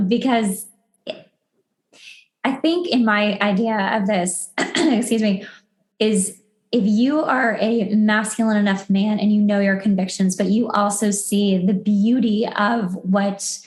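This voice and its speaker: A woman's voice: mid-range voice, slow, darkish tone, reverberant. A woman hosting a podcast in a casual conversational style, enthusiastic and emphatic. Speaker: podcast host.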